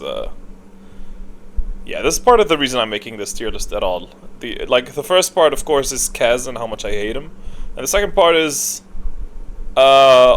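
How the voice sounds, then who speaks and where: Man rambling casually over noticeable background noise. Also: mid-range voice. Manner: rambling, opinionated, thinking aloud. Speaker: gaming content creator, host. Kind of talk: casual unscripted tier list commentary. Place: indoors, voice-over on screen recording. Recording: clear steady background noise.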